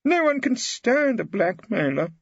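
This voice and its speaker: Spiteful, excitable male don for audiobook, squawky, very high, wildly animated. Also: a little slow, vocal fry, breathy edge, no room sound, lo-fi sound, exaggerated voice. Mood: spiteful, positive. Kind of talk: audiobook character acting.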